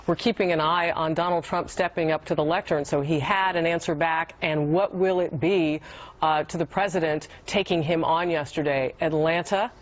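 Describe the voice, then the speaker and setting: A woman hosting a live news panel, briskly steering the talk and teasing upcoming stories. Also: deep voice, fairly even intonation, lo-fi sound. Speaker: panel host.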